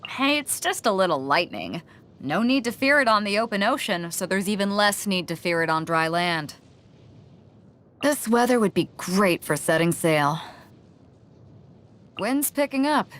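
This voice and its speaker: A woman's voice: mid-range voice, animated, a little slow, pauses often, a bit bright. A woman playing a bold, hearty, easygoing sea captain for a video game, confident and comradely in manner. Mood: relaxed, hearty. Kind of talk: video game character voice lines. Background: background music.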